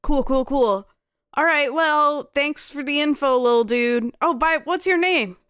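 A woman's voice: sits high, measured pace, fairly bright tone, no echo, clean studio sound. A woman playing a tabletop game, playful and brash, laughing often. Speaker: player. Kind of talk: casual tabletop play.

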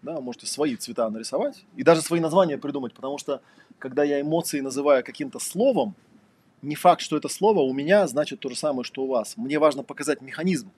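A man's voice: on the high side, quick pace, a little mellow, slightly rough. A man giving a casual lecture, talking conversationally with lots of fillers and rhetorical questions.

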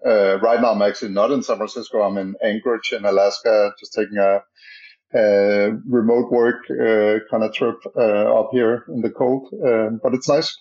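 A man with a Danish accent answers interview questions in a casual, halting way, full of uhs and restarts. His voice sits fairly low. The sound is dull and lo-fi, like a remote call. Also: steady tone, darkish tone. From Denmark. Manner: halting, many fillers and restarts. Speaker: podcast guest, startup co-founder.

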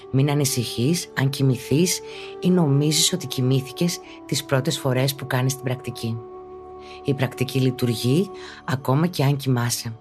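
A woman speaking as a yoga teacher and podcast host, guiding a meditation in a calm, instructive, deliberate way. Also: a bass voice. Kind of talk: guided meditation narration.

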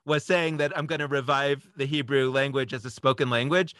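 A man's voice: mid-range voice, steady tone, a little fast, a bit bright, no echo, studio quality. A man speaking as a podcast guest, explaining ideas in a casual, discursive way as he thinks aloud.

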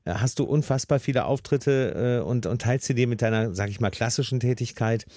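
A man's voice: mid-range voice, fairly quick, no room sound, studio quality. Chatty man hosting a podcast. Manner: warm-toned teasing, laughs often, chatty. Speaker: podcast host. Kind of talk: daily podcast interview.

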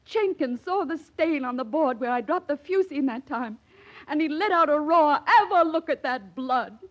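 Devoted young wife for vintage radio drama: high-pitched, earnest, dramatic, on a dull old recording. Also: female voice, lively intonation, normal speed. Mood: distressed. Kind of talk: 1940s radio acting.

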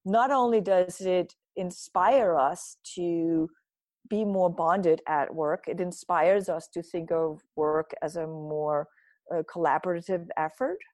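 Earnest woman from Denmark, lowish voice. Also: a little mellow, no echo. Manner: earnest, reflective, frequent restarts and "right?" tags. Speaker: guest, nutrition author and speaker. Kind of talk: conversational interview answers.

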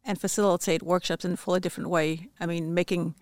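A woman's voice: on the low side, level tone, fast talker, dry recording, studio quality. A woman who heads a company department, talking as a podcast guest in a casual, thoughtful way with frequent restarts.

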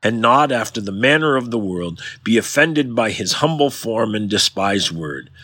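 Low, husky man reading devotions. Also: expressive, normal speed, sharp tone, no room sound. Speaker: pastor and podcast host. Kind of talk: devotional scripture reading and prayer.

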